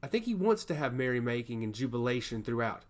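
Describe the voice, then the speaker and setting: A man's voice: medium pitch, very animated, fast, close to an everyday voice. A man playing a composed young princess in a fantasy audiobook, earnest and reflective. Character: thoughtful, composed young princess, calculating and sincere.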